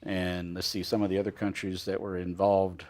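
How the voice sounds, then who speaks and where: A man in his sixties hosting a TV studio interview, asking leading questions in a halting way with many pauses. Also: low voice, flat delivery, darkish tone. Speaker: host.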